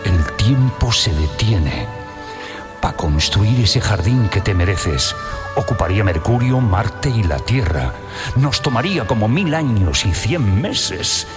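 A man from Spain hosting a radio show, enthusiastic and teasing with his guest. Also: low voice. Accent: Spain Spanish. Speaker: radio host. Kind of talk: radio show banter and recited love poem. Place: radio studio.

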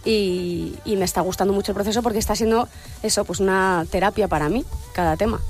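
A woman's voice: medium pitch, a little mellow, soft background music. Candid woman singer on radio. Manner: candid, reflective, quick to laugh. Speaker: singer guest. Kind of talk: radio interview chat. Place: radio studio.

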